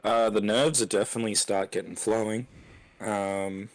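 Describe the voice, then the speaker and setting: A man with a croaky voice giving colour commentary on a sim race. He is halting, with many ums and restarts. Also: lowish voice, fairly even intonation.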